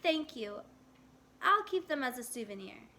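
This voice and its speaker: A woman's voice: sits high, sing-song, a little slow, bright, forceful tone, heavily acted. A woman voicing a polite, earnest young schoolgirl in a storybook read-aloud. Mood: pleased.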